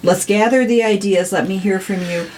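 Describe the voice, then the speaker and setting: A woman's voice: mid-range voice, moderate pace, a little mellow. An American woman talking conversationally as an interview guest, earnest and emphatic, repeating words for stress. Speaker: city council member, podcast guest.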